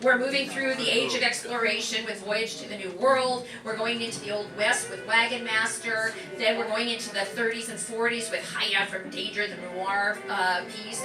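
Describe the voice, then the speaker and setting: A woman's voice: mid-range voice, fairly even intonation, normal speed, few pauses, over music. A woman teacher giving a chatty, upbeat welcome and announcements to a live audience, full of fillers. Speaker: class instructor welcoming an audience. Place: community center performance room. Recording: echoey room from far off.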